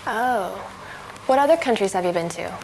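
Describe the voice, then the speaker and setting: A woman's voice: mid-range voice, lively intonation, normal speed, vocal fry, a little mellow, a little hiss or hum, poor audio. A woman reading the questioner's part in a scripted practice dialogue, curious and questioning. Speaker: dialogue reader asking the questions.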